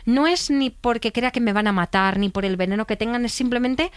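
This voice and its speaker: A woman's voice: on the high side, a little fast, clean tone, no echo. A woman with a mid-range voice who talks expressively and pokes fun at herself, stumbling and restarting as she goes. Her voice is close and dry in the studio. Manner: expressive, self-deprecating, hesitant restarts. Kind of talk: casual chat.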